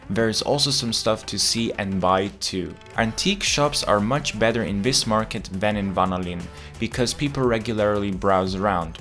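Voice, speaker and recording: Low-voiced man narrating a travel vlog. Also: fairly bright tone. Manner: chatty, explanatory, playful asides. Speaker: travel vlogger.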